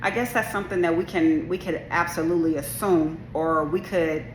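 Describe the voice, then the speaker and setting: Low-voiced, assertive woman in an echoey room. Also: fairly even intonation, fairly quick, a little hiss or hum. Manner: assertive, strong and powerful delivery; rambling, with many restarts and asides. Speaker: video creator. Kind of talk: casual spiritual monologue to camera.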